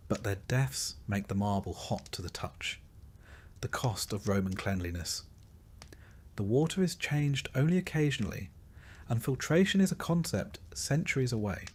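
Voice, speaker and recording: Slow, low-voiced male history narrator. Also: darkish tone, a little hiss or hum. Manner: measured, descriptive second-person storytelling. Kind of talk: scripted long-form history narration, sleep story.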